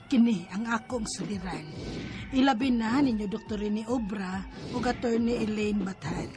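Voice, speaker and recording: A woman's voice: mid-range voice, normal speed, music in the background, natural voice. An actress with a Cebuano Filipino accent playing a troubled married woman narrating her letter in a radio drama. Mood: earnest recounting.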